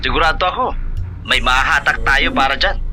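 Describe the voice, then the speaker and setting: Man playing a sharp, bright-voiced obliging buddy. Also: on the high side, brisk, faint music behind. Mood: breezy, obliging. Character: obliging, confident male drinking buddy. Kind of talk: Tagalog horror audio drama acting.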